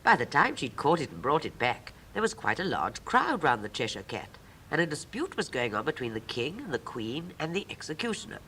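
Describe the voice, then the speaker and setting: A woman's voice: sing-song, a little fast, sharp tone, exaggerated voice, poor audio. A woman actor narrating a storybook in a poised 1950s style. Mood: measured. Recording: old 1950s record with rumbling noise.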